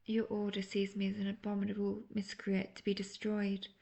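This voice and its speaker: A woman playing an obsessive, manipulative witch in an intimate roleplay audio drama, speaking in a breathy, coaxing, often half-whispered way. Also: mid-range voice, completely flat delivery, moderate pace, darkish tone, lightly acted. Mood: teasing, probing. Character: obsessive young female witch, lovestruck and manipulative.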